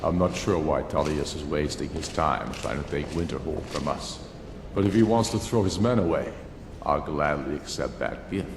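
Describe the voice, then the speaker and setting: An actor playing a gruff, confident rebel military commander in a video game, with a very deep voice. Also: male voice, normal speed, roomy sound, long reverb tail, background noise. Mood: scornful confidence. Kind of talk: video game character acting.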